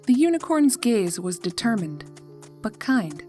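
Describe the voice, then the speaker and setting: A woman narrating a scripted horror story in a measured, atmospheric storytelling manner. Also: a little high, very animated, dark tone, soft background music.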